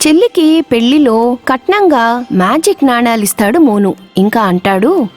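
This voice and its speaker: A woman tells a children's moral tale as a scripted narrator. Her voice is on the high side, and soft music plays behind her. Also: expressive, normal speed. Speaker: storyteller, narrator.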